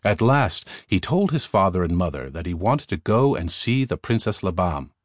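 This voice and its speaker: Animated low-voiced male storyteller. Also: normal speed, no room sound, studio quality. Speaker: audiobook narrator. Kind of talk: scripted fairy tale narration. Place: recording studio.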